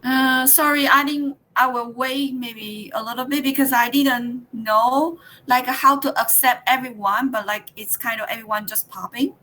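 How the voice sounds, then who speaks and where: Taiwanese woman, thirties, workshop leader on a video call; high, bright tone, halting delivery with lots of fillers. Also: steady tone, poor audio. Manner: halting, with many fillers and restarts. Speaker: teacher trainer leading a workshop. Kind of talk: online workshop presentation.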